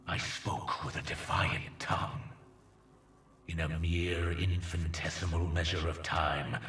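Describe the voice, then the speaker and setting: Deep, gravelly alien conqueror. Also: male voice, fairly bright tone, heavily acted. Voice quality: breathy, gravelly low rasp. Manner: grandiose, solemn, measured, reverent. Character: ruthless alien conqueror, grave devoted disciple of a dark master. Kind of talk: slow theatrical dramatic monologue in a video game. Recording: echoing, distant, faint noisy ambience.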